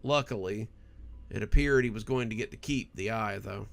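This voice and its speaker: A man with a gravelly voice, hosting and narrating scripted horror stories. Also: fairly low pitch, moderate pace. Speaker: horror story narrator and channel host.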